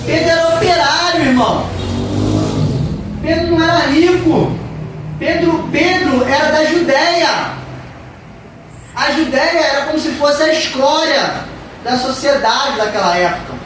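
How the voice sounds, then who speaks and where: A woman's voice: high voice, a little slow, pauses often. A woman preaching a sermon in a church, emphatic and repetitive. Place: church hall. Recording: echoey church hall from far off, noisy.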